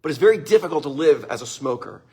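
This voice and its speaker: Upbeat husky man giving a motivational talk. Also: a little high, expressive, fast talker, warm tone. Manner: upbeat, greets viewers by name, laughs often. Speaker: motivational speaker. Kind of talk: live-streamed motivational talk.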